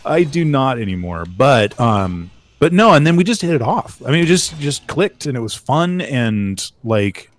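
A man's voice: medium pitch, big pitch swings, normal speed, few pauses. A man chatting casually while playing a game with a friend, joking and trailing off with filler words. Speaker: gaming channel host. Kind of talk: casual co-op gameplay chat.

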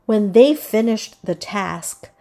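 Female children's book narrator: expressive verse reading, unhurried pace, lively pitch, music underneath. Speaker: storybook narrator. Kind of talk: children's read-aloud, scripted narration.

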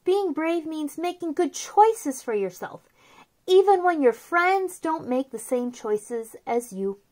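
A woman in her thirties speaking to young children as a story time host, with an expressive, simple delivery. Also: high-pitched, slow pace. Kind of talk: children's read-aloud and chat to young viewers.

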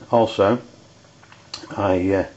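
An Englishman talks through an unscripted product review in a low, flat voice. His delivery is hesitant and halting. The recording is dull and lo-fi. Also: vocal fry. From United Kingdom. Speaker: amateur radio reviewer. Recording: dull lo-fi audio with background noise.

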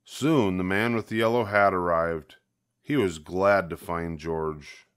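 An older man narrates a children's picture book in a low voice. He goes slowly and expressively, drawing out the key words. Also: steady tone, smooth. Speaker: storybook reader.

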